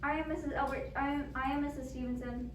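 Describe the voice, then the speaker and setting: A woman's voice: high-pitched, fairly even intonation, fast talker, lightly acted. A woman acting a frightened, demanding, self-pitying bedridden wife in an amateur radio play, fretful and melodramatic. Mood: distraught. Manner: imperious, fretful, melodramatic pleading. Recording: roomy, distant microphone with faint noise.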